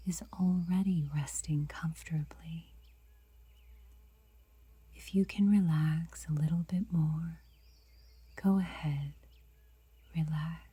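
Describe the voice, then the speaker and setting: A woman guiding a meditation in a soft, breathy whisper, unhurried and gentle, leaving long pauses. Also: low voice, no room sound. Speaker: meditation guide.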